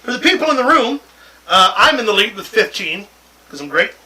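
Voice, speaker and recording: Male wrestling talk host, high and piercingly bright voice, rowdy joker, free with swearing, slightly off-mic. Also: very animated, brisk, recorded from a distance, poor audio. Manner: boisterous, jokey, profane. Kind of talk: casual banter and sports predictions.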